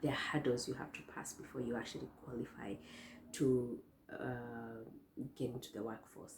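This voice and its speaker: Hoarse, very low-voiced young woman vlogger. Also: fairly even intonation, normal speed, darkish tone, a little hiss or hum. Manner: chatty, rambling, many fillers and restarts. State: hoarse, losing her voice. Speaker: vlogger host. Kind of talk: casual talk to camera. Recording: echoey room.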